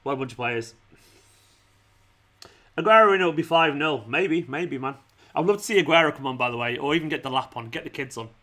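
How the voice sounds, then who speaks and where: Bright, higher-voiced man hosting a football livestream. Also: expressive, a little fast, long pauses. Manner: informal matey address, often saying "man", "mate" and "pal". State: losing his voice. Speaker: fan channel livestream host. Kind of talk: football watchalong stream, casual chat with viewers.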